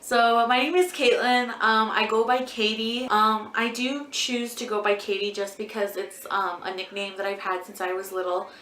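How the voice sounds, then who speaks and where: A woman's voice: on the high side, steady tone, normal speed, few pauses, fairly bright tone. A twenty-year-old woman answering viewer questions as a beauty vlogger in a casual, rambling way, full of ums and likes. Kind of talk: casual Q&A chat. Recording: echoey room.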